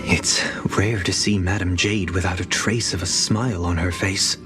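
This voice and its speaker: Game role: reserved young man, calm and grave, low register, played by a man. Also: moderate pace, loud music behind the voice, natural voice. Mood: calm, reflective. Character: calm, serious, reserved young male traveler. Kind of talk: video game story voice acting.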